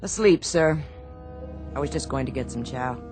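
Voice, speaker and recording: An actress playing a steady, firm, reassuring ship's medic in a radio drama. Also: female voice, rumbling low, normal speed, over music. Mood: dutiful.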